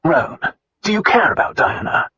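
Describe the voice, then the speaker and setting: A man's voice: high voice, expressive, moderate pace, bright, forceful tone, husky, no room sound, voice pushed up, full character voice. A man voicing an impatient nobleman who barks orders and drawls sardonically in a storybook reading. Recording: slightly muffled dry recording.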